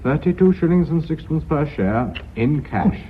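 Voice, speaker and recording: An actor playing a sardonic, well-bred company director in British radio drama, dry and ironically polite. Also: male voice, on the high side, lively intonation, normal speed, a little hiss or hum, close to an everyday voice. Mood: wry, troubled. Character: sardonic, well-bred gentleman heading a family firm. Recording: slightly dull radio drama recording.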